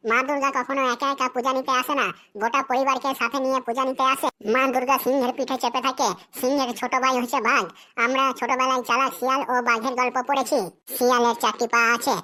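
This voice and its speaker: A cheeky cartoon schoolboy, voiced by a woman,. He recites his memorized essay in a sing-song chant, sure of himself. The voice sits high and sounds bright and sharp. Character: cocky schoolboy who turns every essay into a fox essay. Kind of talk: cartoon voice acting.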